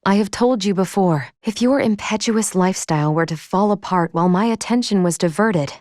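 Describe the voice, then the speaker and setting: A woman voicing a polite, analytical female android in a video game, with formal, measured diction and a caring, fussy manner. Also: medium pitch, a little mellow, no room sound, studio quality, natural voice. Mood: concerned, fussy.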